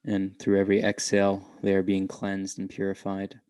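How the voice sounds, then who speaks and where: A man guiding a meditation in a gentle, unhurried way, leaving long pauses between short phrases. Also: sits low, monotone, smooth, no echo. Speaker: meditation guide. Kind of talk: guided meditation.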